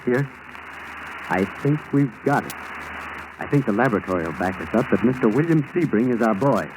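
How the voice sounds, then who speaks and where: A man's voice: mid-range voice, expressive, a little fast, close to an everyday voice. An American actor playing a determined male FBI agent in a 1940s radio drama, talking in short, direct phrases. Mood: eager, intent. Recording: noisy old 1940s radio recording.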